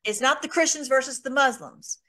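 A woman's voice: high-pitched, fairly quick, bright, forceful tone. A woman hosting an informal online Bible study, talking in a rambling way with many restarts and fillers.

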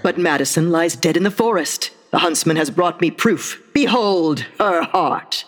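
A woman's voice: on the low side, a little slow, close to an everyday voice. A woman playing a vain, scornful, murderous evil queen in a comic radio play, with a theatrical, imperious delivery. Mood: indignant, irritated. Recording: long hall-like reverb.

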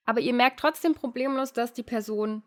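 A woman's voice: on the high side, brisk. A woman hosting an interview, chatty and explanatory, often laughing lightly. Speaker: interview host, language teacher. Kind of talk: casual interview conversation.

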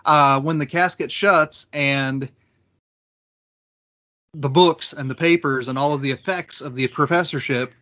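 A man discussing literature as a podcast panelist in a scholarly, deliberate, explanatory way. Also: fairly high pitch, steady tone, pushed and bright, highs cut off.

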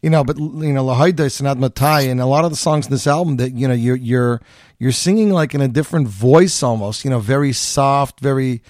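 A man's voice: mid-range voice, fairly quick, darkish tone, no room sound, studio quality. A man interviewing a singer in a casual, chatty way with many fillers.

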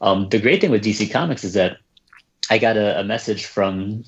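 A reserved, soft-spoken man from the United States, answering questions about his books in a casual interview with many fillers and restarts. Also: fairly low pitch, normal speed, dull audio. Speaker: children's book author, guest.

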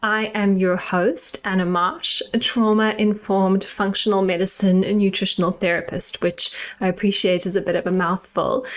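A middle-aged woman from South Africa with a South African accent, hosting her own podcast in a measured, earnest, explanatory way. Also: mid-range voice. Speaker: podcast host and nutritional therapist. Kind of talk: scripted solo podcast monologue.

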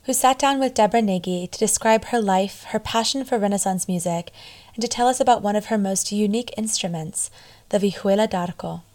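A woman's voice: medium pitch, a little fast, a little mellow. A woman presenter reading a scripted introduction to the next segment. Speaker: program presenter.

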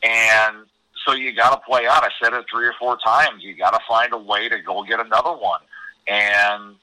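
A man's voice: medium pitch, fairly even intonation, moderate pace, sharp tone, no echo, rough recording. A man giving conversational hockey analysis as an interview guest, emphatic and repeating words as he explains.

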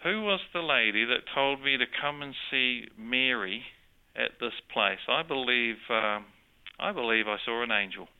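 A man's voice: mid-range voice, measured pace, clear, resonant tone, narrow, tinny audio. A man with a New Zealand accent, a guest telling a story of his faith in earnest, conversational testimony, with frequent restarts. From born in Australia, grew up in New Zealand. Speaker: interview guest.

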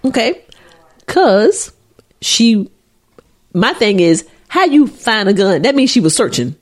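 A woman's voice: a little high, sing-song, slow. A woman co-hosting a casual talk show, teasing and slangy. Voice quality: a little nasally. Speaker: podcast co-host. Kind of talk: casual banter.

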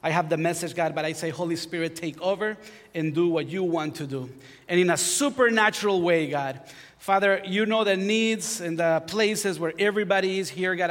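A man in his forties from Honduras with a Honduran Spanish accent, preaching a sermon as pastor, joking about himself and building to fervent exhortation. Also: sits high. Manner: warm self-deprecating humor, rising to fervent preaching. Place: church sanctuary. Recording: long hall reverb.

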